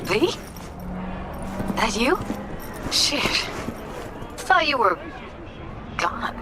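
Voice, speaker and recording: A woman's voice: fairly high pitch, sing-song, drawn-out words, heavily acted. Blunt, tough young woman, music blaring. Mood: hurt, curt. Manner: blunt, sarcastic, slangy. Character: tough, guarded, sharp-tongued young street-tech woman. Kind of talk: video game dialogue acting.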